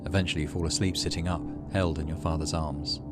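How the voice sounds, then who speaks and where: A man's voice: extremely low pitch, fairly even intonation, fast talker, over loud music. A middle-aged British man hosting a gaming video, reading story text aloud and adding casual commentary.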